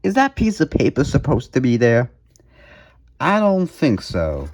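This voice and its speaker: A man's voice: medium pitch, wildly swooping pitch, a little slow, darkish tone, slight background noise. A man hosting a music collection video, talking casually through his items in a playful, jokey way with mock emphasis. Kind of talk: casual show-and-tell vlog commentary.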